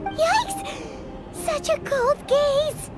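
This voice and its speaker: A woman voicing a tiny, cheeky fairy companion with a squeaky childlike voice in an English anime game dub, over background music. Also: extremely slow, heavily acted. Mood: excited. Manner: refers to self in third person, exclaiming.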